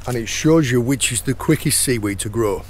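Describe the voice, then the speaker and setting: Croaky middle-aged man outdoors. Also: mid-range voice, normal speed, slight background noise. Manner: chatty, points things out as he goes. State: hoarse, croaky sore throat. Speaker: channel host and foraging guide. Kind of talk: casual outdoor explaining while foraging. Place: outdoors on a rocky shore in the rain.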